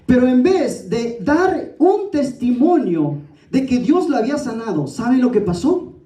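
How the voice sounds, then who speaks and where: High-voiced man preaching, very mellow. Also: sing-song, a little slow. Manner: didactic and exhorting, with rhetorical questions and direct address. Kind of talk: sermon. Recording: echoey room, distant from the mic.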